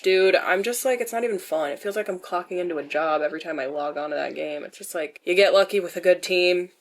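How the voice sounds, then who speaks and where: A young adult woman with a nasal voice answers questions in a casual vlog style, candid and self-deprecating, with dry jokes and swearing. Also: fairly low pitch. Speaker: vlogger.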